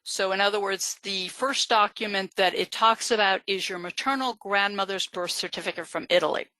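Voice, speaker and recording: A woman's voice: mid-range voice, normal speed, sharp tone, poor audio. A woman genealogist giving a live webinar lecture, explaining step by step with frequent fillers. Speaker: genealogist presenter.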